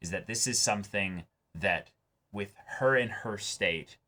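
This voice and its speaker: A young man with a deep, low voice hosts a casual reaction discussion. He works through his points in an analytical, measured way, qualifying them as he goes. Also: sharp tone. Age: young adult. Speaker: host of an anime reaction show. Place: small indoor room, seated before a backdrop.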